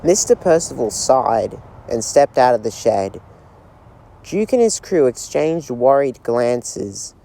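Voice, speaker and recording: A man narrating a railway storybook as a scripted read, in a slow, gentle storytelling manner. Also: medium pitch, expressive, creaky, a little hiss or hum, rough recording.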